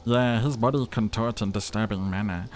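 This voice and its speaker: A man's voice: on the low side, moderate pace, pitched above the performer's own voice, close to an everyday voice. A man voicing a talking necktie in a role-playing game, a blunt, fatalistic and theatrical boozy confidant. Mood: grim, resigned. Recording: music behind the voice.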